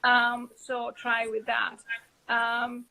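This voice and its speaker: A woman's voice: fairly high pitch, completely flat delivery, drawn-out words, gravelly, bright, forceful tone, rough recording. A woman with an Italian accent hosting a casual live video chat, talking loosely with many fillers and restarts. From Italy. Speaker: host.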